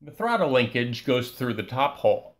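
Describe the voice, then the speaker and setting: A man giving a calm, scripted voice-over that walks through a repair step by step. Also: medium pitch, moderate pace. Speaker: repair channel host.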